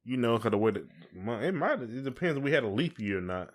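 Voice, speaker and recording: A man's voice: medium pitch, very animated, fast talker. Opinionated American man chatting casually. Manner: opinionated, repeats points, mimics others in acted quotes. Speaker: podcast co-host. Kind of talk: casual conversational chat between spouses.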